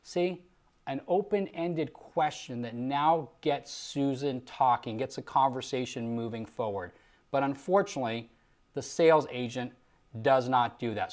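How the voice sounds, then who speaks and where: Emphatic male sales coach. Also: medium pitch. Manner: emphatic, rhetorical, coaching delivery. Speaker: Medicare sales trainer and host. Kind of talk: instructional commentary on a sales call, acting out example lines.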